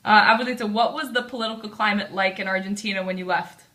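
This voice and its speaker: A woman's voice: mid-range voice, fast talker, bright, forceful tone. A woman interviewing her grandmother in a casual family conversation, leading with friendly questions.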